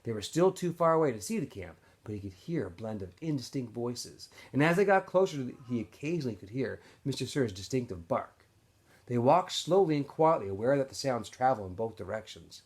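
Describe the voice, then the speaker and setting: A man narrating a novel aloud in an expressive storytelling style, as if for students. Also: medium pitch, fairly quick, husky. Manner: animated storyteller. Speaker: teacher or school staff reading aloud to students.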